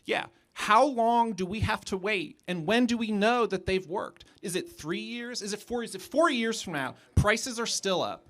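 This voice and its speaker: A man's voice: sits high, lively intonation, moderate pace, few pauses, fairly bright tone. A man speaking as an academic in a live public debate, insistent and challenging in how he presses his questions.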